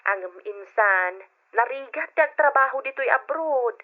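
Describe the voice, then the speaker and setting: A cautious cousin performed by a woman in an old-style radio drama, with a Filipino accent and a fairly high voice. She sounds measured and doubtful as she advises, over dull lo-fi sound. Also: expressive. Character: cautious female cousin working abroad, sceptical, advising. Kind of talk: old-fashioned Ilocano radio drama acting.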